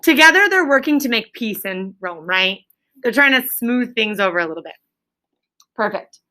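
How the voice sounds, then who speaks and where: A woman with a fairly high, very bright voice leads a classroom read-aloud. She sounds like a schoolteacher, instructive and projecting clearly forward. She breaks up the reading with short prompts and check-in questions for her pupils. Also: animated, moderate pace. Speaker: elementary school teacher.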